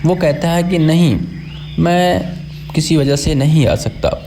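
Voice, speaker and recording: A man voicing an anxious, quick-thinking monkey in a children's story, worried and protesting. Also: fairly high pitch, over music, pitched above the performer's own voice. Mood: declining.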